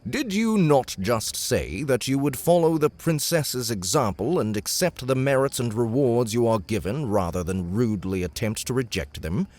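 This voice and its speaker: A man's voice: medium pitch, animated, moderate pace, hardly any pauses, darkish tone, natural voice. An actor playing a genial, gracious elven king in an audiobook, with a courtly, formal, theatrical manner. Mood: amused, generous. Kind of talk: audiobook character acting.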